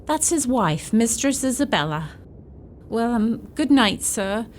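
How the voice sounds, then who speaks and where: A woman's voice: on the high side, big pitch swings, a little slow. An actress playing an elderly servant woman in a radio drama, deferential, grave and matter-of-fact. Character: long-serving elderly female housekeeper, deferential, grave. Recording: faint background noise.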